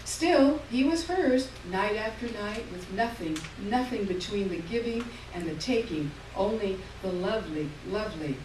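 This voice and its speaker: A woman reading literary fiction aloud on stage in a lyrical, measured way, heard from far off in an echoey hall. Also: medium pitch, animated, noisy background. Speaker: reader. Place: theater stage.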